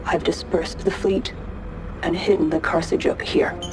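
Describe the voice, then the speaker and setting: A woman's voice: lowish voice, steady tone, measured pace, music in the background, natural voice, poor audio. A woman playing a resolute female fleet commander in a game, giving a solemn, urgent recorded message. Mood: grave.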